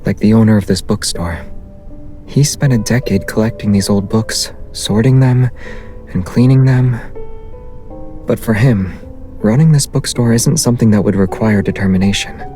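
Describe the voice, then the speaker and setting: An actor playing a calm, soft-spoken young man in a game, speaking in an unhurried, understated, intimate way. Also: fairly low pitch, natural voice. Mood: calm, thoughtful. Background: music.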